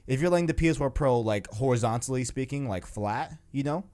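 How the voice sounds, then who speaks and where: A man's voice: medium pitch, fairly quick, a little mellow. A man chatting informally and ramblingly as host of a gaming podcast, with slangy asides. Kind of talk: casual gaming podcast chat.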